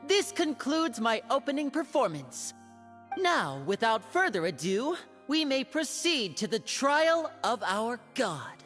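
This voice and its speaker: A woman's voice: on the high side, sing-song, slow, fairly bright tone, soft background music, heavily acted. A woman playing a showy stage performer in a video game, making a ceremonious announcement. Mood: grand, showy.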